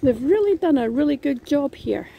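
A woman's voice: high voice, expressive, normal speed, creaky, muffled recording. A woman giving casual walking commentary as she films outdoors on an urban path with a handheld camera mic. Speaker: vlogger filming a walk. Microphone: handheld camera or phone mic. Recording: windy, noisy outdoor handheld recording.

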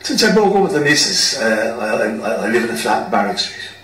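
A man playing a rambling, halting, down-on-his-luck Irish bandmate in a radio play. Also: mid-range voice, very animated, fairly bright tone. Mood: resigned. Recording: roomy, distant mic, background noise.

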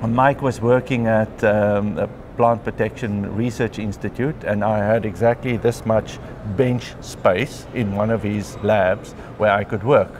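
Chatty South African man, lowish voice. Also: steady tone, a little slow, few pauses, background noise. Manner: chatty, frequent ums and clears throat. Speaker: interviewee, mycologist. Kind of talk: relaxed conversational storytelling. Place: large glass atrium of a conference venue. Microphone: lapel mic.

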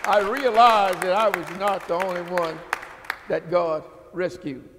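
An older man preaching a sermon from a church pulpit into a podium microphone, building from quiet talk into fervent, rising calls to the congregation. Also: high-pitched, unhurried, a crowd in the background, background noise. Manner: preaching with rising call-and-response intensity. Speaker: pastor. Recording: church hall reverb.

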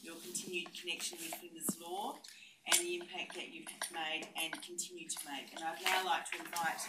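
A woman hosts a formal university event and reads scripted thanks. She is heard from far off in an echoey room. Loud noise sits under her lowish voice. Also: little pitch movement, moderate pace. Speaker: event host. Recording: distant echoey room mic, noisy.

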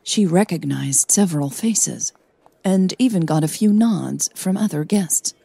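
A woman narrates a scripted audio story. Her voice is slightly low and dark, with a husky grain. She reads as a storyteller. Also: animated, a little slow, no room sound.

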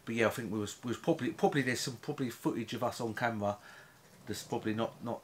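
A middle-aged man rattles on at a quick clip, rambling and cheeky as he chats from a bedroom. His voice sits at an ordinary middle pitch, with a faint hum of the room behind it. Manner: rambling, cheeky, laughs at his own jokes. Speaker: vlog co-host. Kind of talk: casual chat. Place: bedroom, sitting on a bed.

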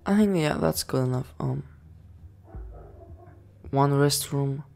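A man giving casual commentary over a management game, excitable, swearing and yelling orders at his units. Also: a little high, slow pace, vocal fry. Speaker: gaming channel host.

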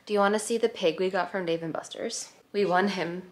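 A woman around her thirties chatting casually to camera in a playful, expressive way, stretching words for emphasis. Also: mid-range voice, brisk, a little hiss or hum. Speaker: vlogger. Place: apartment living room. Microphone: camera at arm's length.

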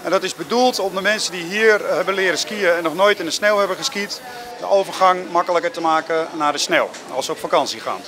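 A man managing a ski school, giving a promo interview in a halting way with many fillers. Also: high-pitched, a crowd in the background.

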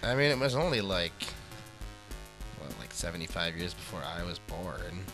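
A man's voice: sits low, animated, moderate pace, over music, rough recording. A man co-hosting a casual talk show, laid-back and self-deprecating. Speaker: co-host. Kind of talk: casual chat. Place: attic studio in Buffalo. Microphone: handheld mic.

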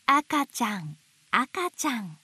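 A woman reading picture books aloud to babies in a gentle, sing-song way, dwelling on playful sound words. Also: on the high side, big pitch swings, slow, breathy edge. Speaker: storybook narrator voicing an owl. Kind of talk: children's picture book read-aloud.

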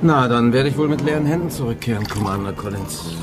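An actor playing a blunt, impatient German investigator in a radio crime drama. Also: male voice, mid-range voice, a little fast, loud music behind the voice, lightly acted. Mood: probing.

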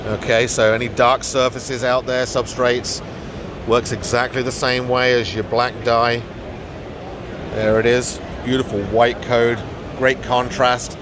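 Middle aged guy doing a casual product walkthrough on his phone, average pitch, loud trade show hall noise around him. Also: little pitch movement, unhurried. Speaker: business development manager presenting products. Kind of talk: informal trade show booth tour to camera. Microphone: handheld phone at arm's length. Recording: noisy exhibition hall ambience.

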